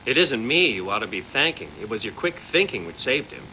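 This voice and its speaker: A man's voice: mid-range voice, very animated, a little fast, pushed and bright, slight background noise, heavily acted, low-quality recording. A man voicing a reassuring, self-satisfied cyber-doctor in an anime dub. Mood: reassuring, pleased. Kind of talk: dubbed anime voice acting. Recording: music behind, old VHS tape dub.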